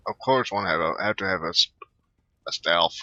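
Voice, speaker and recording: A man giving casual, off-the-cuff gaming commentary in a halting, offhand, mumbly way. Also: low-pitched, pushed and bright, no room sound, muffled recording. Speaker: gameplay commentator.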